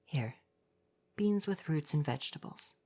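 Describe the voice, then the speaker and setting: A man playing a gentle, coy and flirty forest spirit in an ASMR roleplay, speaking softly, at times breathily, in a formal, reassuring way. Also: on the high side, lively intonation, unhurried. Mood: caring, welcoming.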